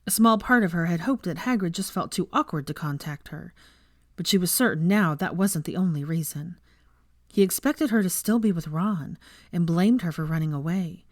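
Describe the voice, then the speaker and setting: A woman's voice: fairly low pitch, animated, moderate pace, no echo, studio quality. A woman reading fiction aloud as a scripted story narrator.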